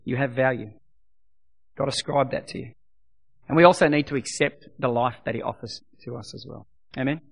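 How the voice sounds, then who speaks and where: A middle-aged man preaching as a pastor, his voice a bit croaky. He talks emphatically, repeating his points and dropping to a soft voice for prayer. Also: medium pitch, brisk, pauses often. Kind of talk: sermon.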